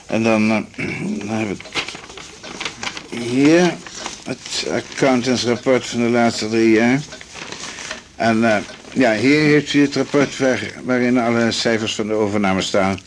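A man's voice: mid-range voice, slow pace, a little hiss or hum, natural voice, low-quality recording. A man playing a smug, conservative, patronizing older doctor in a radio drama, pompous and full of hesitant ehs. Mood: businesslike. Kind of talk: 1980s Dutch radio drama acting.

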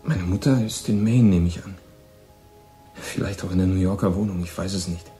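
A man's voice: sits low, normal speed, dark tone, natural voice. An actor playing a guarded, lonely American runaway teenage boy in a German radio drama. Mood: wistful, reflective. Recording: music behind the voice.

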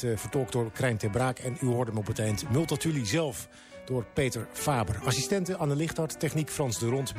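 A man presenting on the radio as host, reading announcements with hesitant pauses. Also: medium pitch. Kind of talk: radio presenting, credits and sign-off. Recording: music playing behind the voice. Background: music.

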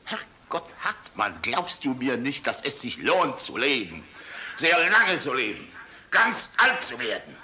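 A man's voice: mid-range voice, big pitch swings, a little slow, slightly rough, heavily acted. An actor in a German radio play voicing an old, world-weary, passionate general, blustering and fatherly in a rhetorical manner. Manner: blustering, rhetorical, swinging from outbursts to hushed musing. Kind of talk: German radio drama acting.

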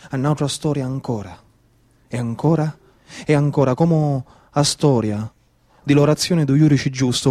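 A man's voice: medium pitch, mellow. A man in his thirties with a Sicilian accent, telling a tale theatrically in an incantatory, repetitive manner. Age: 30s. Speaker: stage storyteller. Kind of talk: theatrical Sicilian cunto storytelling.

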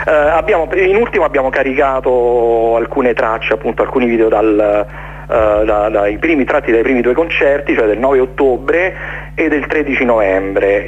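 Halting man, dull noisy radio sound. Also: mid-range voice. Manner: halting, searching for words, repeating himself. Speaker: interview guest, jazz musician presenting his concert series. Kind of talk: informal radio interview.

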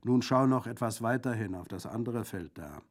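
A man's voice: mid-range voice, moderate pace, lightly acted. A man voicing a boisterous Corsican bandit in an audiobook, jovial and exclamatory, with a gleefully mocking, gruesome humour. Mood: brisk, bantering. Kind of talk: audiobook character acting.

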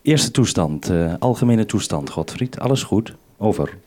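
Male radio reporter, deep-pitched, handling a live two-way link, with light vintage broadcast noise. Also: animated, moderate pace. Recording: old radio recording with faint noise, clicks.

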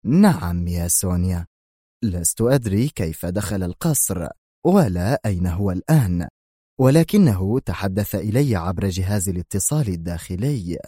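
A gruff, menacing older crime boss, as a male narrator voices him, dropping each word slowly and heavily. The tone is low, dark and mellow. It is recorded close and dry. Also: expressive, dry recording. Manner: slow, heavy, menacing. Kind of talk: audiobook character reading.

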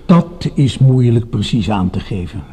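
An actor playing a smooth-mannered, condescending psychiatrist with quiet menace in a radio drama. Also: male voice, mid-range voice, lively intonation, unhurried. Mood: clinical, calm. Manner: patronising, pseudo-clinical, insinuating. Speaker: psychiatrist character.

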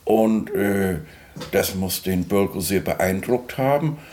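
A man's voice: low voice, a little mellow, a little hiss or hum. A man as a scholarly music expert, giving digressive, spontaneous-sounding commentary with many hesitations and tag questions. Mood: musing, digressive. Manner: halting, digressive, frequent fillers and tag questions. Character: male music expert, rambling, eager, scholarly reminiscer. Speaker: commentator on the composer.

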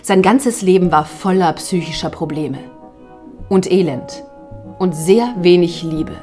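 A woman playing a probing therapist in a German radio drama, speaking in a deliberate, clinical, clipped manner. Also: lowish voice, mellow, soft background music, natural voice. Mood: measured, appraising. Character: measured, probing female therapist assessing a patient.

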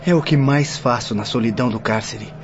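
An actor playing a repentant young prisoner in a radio drama, speaking humbly and sorrowfully. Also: male voice, medium pitch, brisk, lightly acted. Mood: remorseful. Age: young adult. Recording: muffled recording with faint noise.

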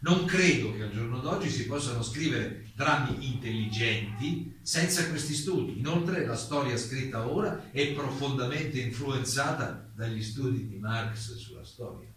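An actor playing an evasive German playwright testifying as a witness in a radio theatre piece, hesitant and given to repeating himself. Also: male voice, medium pitch, expressive, measured pace, hardly any pauses, a bit bright. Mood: measured, didactic. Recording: very echoey, distant, faint noise.

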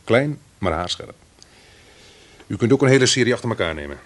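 Suave, low-voiced blackmailer. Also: male voice, very animated, brisk. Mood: cool, controlling. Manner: suave, commanding, precise. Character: coolly menacing, polite blackmailer giving orders. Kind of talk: Dutch radio play acting. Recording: old radio play with background noise.

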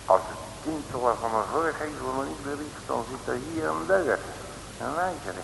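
A hissy, reverberant old radio recording carries a man acting a folksy detective. He has a creaky, gravelly voice and talks in colloquial, wry mutters, cheeky and never ruffled. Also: mid-range voice, normal speed, lightly acted. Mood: muttering, puzzled. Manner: colloquial, drawling muttered asides. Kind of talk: 1960s Dutch radio serial acting.

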